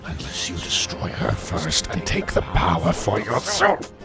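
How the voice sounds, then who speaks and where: A man's voice: on the low side, huge pitch swings, unhurried, voice pushed down, heavily acted. A man voicing an ominous, domineering entity with a commanding, menacing manner in a fantasy audiobook. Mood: menacing, urging. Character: domineering, ominous entity urging ruthless seizure of power. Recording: music clearly behind the voice.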